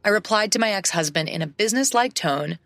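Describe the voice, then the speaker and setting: Gravelly woman narrating a story. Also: medium pitch, fast talker, no room sound, clean studio sound, soft background music. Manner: steady storytelling read. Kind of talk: scripted first-person story narration.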